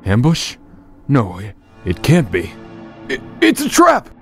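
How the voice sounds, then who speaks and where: An actor playing a devoted young prince in a fairytale audio play, speaking in a formal way. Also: male voice, medium pitch, huge pitch swings, slow pace, over music, full character voice. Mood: alarmed. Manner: formal, reassuring. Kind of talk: fairytale audio drama acting.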